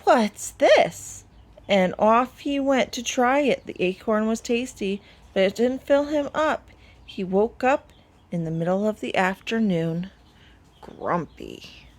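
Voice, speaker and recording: A woman reading a children's story aloud, expressively, with deliberate dramatic pauses. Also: mid-range voice, expressive. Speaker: storybook reader.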